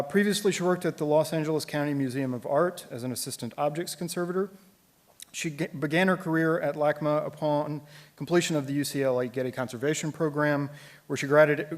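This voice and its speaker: A man hosting a conference session, formally introducing a speaker with some informal ad-libbed remarks. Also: fairly high pitch.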